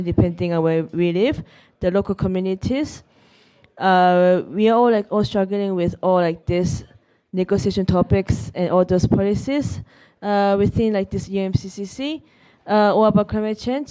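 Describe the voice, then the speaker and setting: A woman's voice: medium pitch, dark tone, clean tone. A woman giving an unscripted keynote at a conference, hesitant, with many fillers and restarts. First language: Japanese. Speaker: keynote speaker, youth representative. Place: conference venue.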